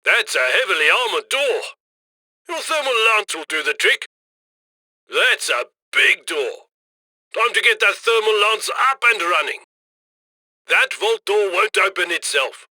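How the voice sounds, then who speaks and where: A man's voice: high-pitched, lively intonation, a little slow, long pauses, pushed and bright, dry recording, exaggerated voice. A man playing a cheerful, cheeky heist handler who chummily guides a robbery crew in a video game. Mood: urging, instructing. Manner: chummy, cheeky, colloquial, gleeful about loot. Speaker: mission handler. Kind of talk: video game mission voice lines.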